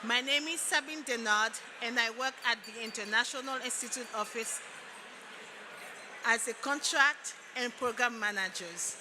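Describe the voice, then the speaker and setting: A woman's voice: a little high, normal speed. A woman from Haiti, a program manager, formally reading a gala introduction. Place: large ballroom. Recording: hall reverb, faint room noise.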